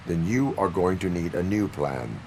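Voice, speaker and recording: A man playing a stoic, dutiful half-machine cyborg police officer in a video game, speaking in a clipped, formal, deadpan way. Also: sits low, moderate pace, slight background noise.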